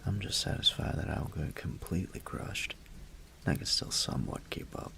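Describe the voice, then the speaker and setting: A man acting as a teasing, affectionate young roommate in an intimate audio roleplay, murmuring in a gravelly, breathy half-whisper. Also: low voice, sharp tone, a little hiss or hum, exaggerated voice. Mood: playful, teasing. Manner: playful, unhurried, murmured delivery.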